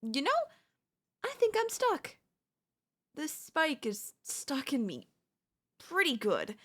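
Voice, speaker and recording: A woman's voice: high voice, wildly swooping pitch, heavily acted. A woman voicing a chatty, teasing zombie in a comedic audio roleplay, talking casually and playfully straight to the listener. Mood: sheepish, embarrassed. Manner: casual, playful, taunting, slangy, drawn-out delivery. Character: chatty, teasing, cocky female zombie hunting a human survivor.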